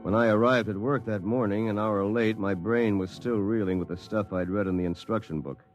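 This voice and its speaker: A man's voice: fairly low pitch, fairly even intonation, brisk, lightly acted. An actor playing a self-satisfied lawyer telling his own story, confiding and wry, as 1950s radio drama narration. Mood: wry recounting. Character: self-satisfied, successful male attorney recounting his story. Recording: 1950s radio broadcast, music behind.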